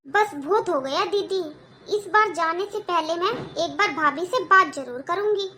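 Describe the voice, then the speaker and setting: A woman's voice: extremely high pitch, a little slow, bright, forceful tone, a little hiss or hum, exaggerated voice. A woman voicing an outspoken, indignant younger sister in a cartoon moral story, protesting and arguing. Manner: protesting, argumentative.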